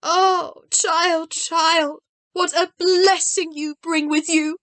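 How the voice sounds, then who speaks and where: A woman's voice: very high voice, slow, a bit bright, voice pushed up, exaggerated voice. A woman voicing a frail, tender old grandmother. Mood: grateful.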